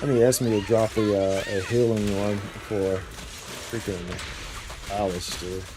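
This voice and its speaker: A man's voice: fairly low pitch, steady tone, a little slow, noisy background. A man streaming a game with casual, slangy live commentary, often repeating his own phrases.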